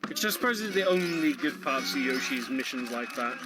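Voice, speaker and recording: A man's voice: medium pitch, lively intonation, fairly quick, rough recording. A man giving casual live commentary on a video game, explaining things in a hesitant, chuckly way. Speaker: speedrun commentator. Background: game music behind the voice.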